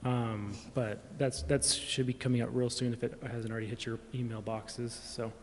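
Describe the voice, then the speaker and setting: A man speaks at middle pitch with very little melody, explaining informally and haltingly as a staff member. The room is reverberant, with faint background noise. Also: flat delivery. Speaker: city staff member reporting to council. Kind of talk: informal explanation at a public meeting. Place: indoor council chamber. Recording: echoey chamber with faint noise.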